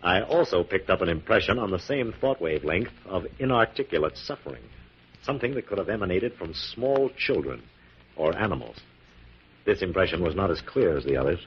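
An actor playing an assured, methodical scientist hero with a measured, authoritative manner, in 1940s radio drama style. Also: male voice, deep voice, expressive, full character voice. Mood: businesslike, assured. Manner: crisp dictation, measured, authoritative. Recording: dull, muffled 1940s radio recording.

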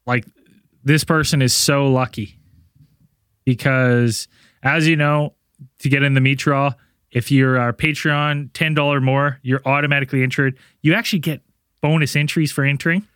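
A man's voice: medium pitch, fairly even intonation, normal speed, a bit bright, clean tone, no room sound, clean studio sound. A man co-hosting a podcast, talking in a loose, rambling way and laughing often while he announces giveaways and tells stories. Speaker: podcast co-host. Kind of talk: casual chat.

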